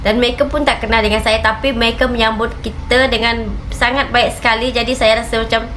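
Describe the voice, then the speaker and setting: A woman's voice: on the high side, level tone, brisk, fairly bright tone, a little hiss or hum. A woman from Malaysia with a Malaysian Malay accent, telling a travel story in a casual, chatty vlog, full of "okey" and "macam" fillers. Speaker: reaction channel vlogger.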